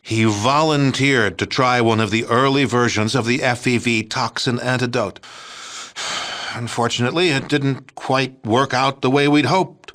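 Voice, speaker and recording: A man's voice: mid-range voice, fairly bright tone, natural voice. A man with an American accent playing a pompous, folksy, smug middle-aged president in a video game. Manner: pompous, declamatory, hesitant stammering when cornered. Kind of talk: video game dialogue acting.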